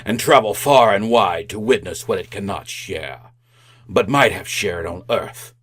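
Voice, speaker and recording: Slow, forceful, portentous ghost. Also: male voice, on the low side, animated. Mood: grave. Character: solemn male ghost of a dead business partner, accusing. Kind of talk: old-time radio drama acting.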